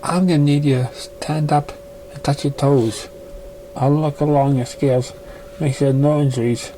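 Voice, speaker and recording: A man's voice: on the high side, steady tone, moderate pace, warm tone, a little hiss or hum, natural voice, rough recording. A man voicing a professional, matter-of-fact female pony health examiner in a read-aloud story. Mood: brisk.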